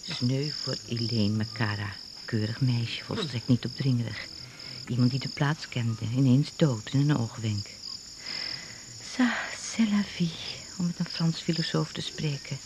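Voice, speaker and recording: An actor playing a genteel, earnest traveller musing aloud in a radio drama monologue. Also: male voice, mid-range voice, measured pace, lightly acted. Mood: airy, philosophical. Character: prim, chatty, self-absorbed traveller musing aloud. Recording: old noisy radio play recording.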